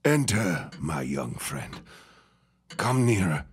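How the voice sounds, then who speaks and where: An actor playing a grave, secretive mentor in a period audio drama, with a husky voice and solemn, portentous delivery. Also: male voice, a bass voice, very animated, takes it slowly, heavily acted. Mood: solemn, urgent. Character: grave, secretive mentor summoning a young friend.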